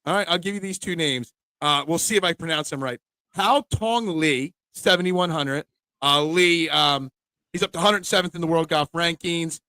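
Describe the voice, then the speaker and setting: A man's voice: a little high, normal speed, sharp tone, clear, resonant tone, dry recording, poor audio. A man hosting a casual sports betting preview show, chatting with his co-hosts.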